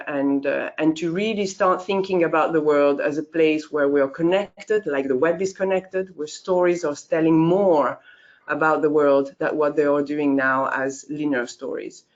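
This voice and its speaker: A woman in her fifties, a course leader, introducing a course informally, with many fillers and sudden leaps in pitch. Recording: dull lo-fi audio.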